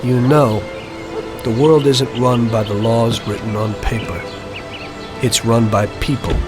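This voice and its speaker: An actor playing a weary former mobster, speaking in a plain, reflective, matter-of-fact way in a video game story. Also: male voice, mid-range voice, steady tone, a little slow, music in the background, lightly acted.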